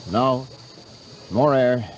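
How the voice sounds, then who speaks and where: A sullen male skipper who gives short, guarded answers in a mid-pitched voice. It is vintage radio adventure acting on a noisy old recording with water and boat sounds. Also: drawn-out words, highs cut off. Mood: tense, demanding. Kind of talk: 1950s radio drama acting.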